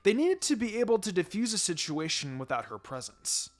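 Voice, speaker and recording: A man's voice: high voice, very animated, fairly quick. A man reading a story aloud as a narrator, in a scripted storytelling style. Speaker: fan fiction reader. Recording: music behind the voice.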